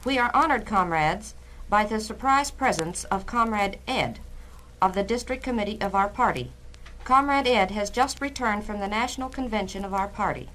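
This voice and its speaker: A woman's voice: medium pitch, animated, normal speed, a bit bright, natural voice. A woman playing a businesslike Communist cell leader, chairing with stiff formality in a 1960s dramatized style. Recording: noisy vintage spoken-word LP.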